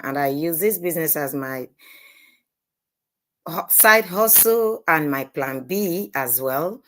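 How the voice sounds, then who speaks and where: A woman speaking on an online panel, measured and a little hesitant, with fillers. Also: low-pitched. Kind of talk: live online panel talk.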